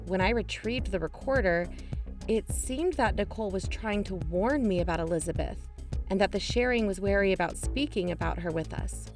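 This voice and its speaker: An actress playing an earnest young amateur investigator, co-hosting a scripted podcast drama. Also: female voice, medium pitch, normal speed, lightly acted. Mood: earnest, measured. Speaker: podcast co-host. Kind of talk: scripted audio drama narration and dialogue. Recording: music under the voice.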